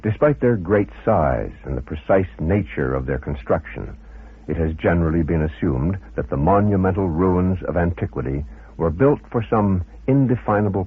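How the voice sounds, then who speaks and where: A man reading scripted narration in a formal, measured manner. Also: low-pitched, breathy edge. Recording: muffled phone-like old radio recording.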